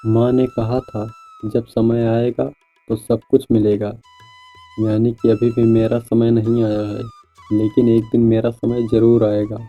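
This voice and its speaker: A man's voice: mid-range voice, level tone, unhurried, phone-quality sound, faint music behind, natural voice. A man voicing a naive, hopeful and honest young village farmer in a cartoon moral story, speaking earnestly with exclamations. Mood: grateful, resigned.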